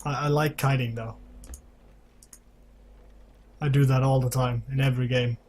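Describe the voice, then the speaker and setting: A man's voice: mid-range voice, steady tone, moderate pace, darkish tone. A man casually commentating as he plays a game on a live stream, hosting a guest. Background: background music.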